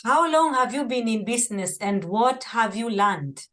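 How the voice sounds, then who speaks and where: A woman's voice: on the high side, expressive, measured pace, fairly bright tone. A woman hosting an interview and asking questions with enthusiasm. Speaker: podcast host.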